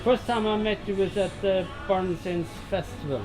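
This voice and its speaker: A man's voice: high-pitched, normal speed, over music, rough recording. A man asking questions as an interviewer in a casual, chatty style.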